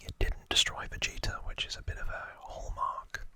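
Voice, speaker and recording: A man's voice: moderate pace, pushed and bright. Whispering man, ASMR trivia host.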